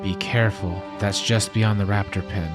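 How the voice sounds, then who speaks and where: A man playing an earnest, calm, technical geneticist in an audio drama. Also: on the low side, normal speed, music in the background, natural voice. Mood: concerned.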